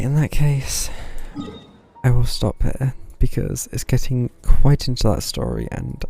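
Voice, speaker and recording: A man giving casual, low-key live commentary on a game, half talking to himself as he plays. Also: gravelly, dark tone. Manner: low-key, muttering to self while playing. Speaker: game streamer. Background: game music.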